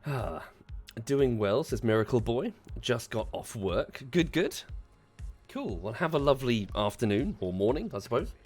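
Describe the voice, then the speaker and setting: Congested man, lively chatty streamer. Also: mid-range voice, soft background music. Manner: polite, chatty, pauses to cough. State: hoarse, congested after chest infection. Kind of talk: casual stream chat. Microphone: desk microphone.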